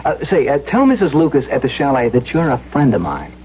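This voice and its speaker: A man's voice: medium pitch, big pitch swings, brisk, highs cut off, background noise, close to an everyday voice. An actor as earnest radio-drama man, old broadcast sound. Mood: friendly, bashful. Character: sober, serious male engineer, earnest and conflicted. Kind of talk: radio drama acting with first-person narration. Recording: band-limited 1970s radio drama, music behind.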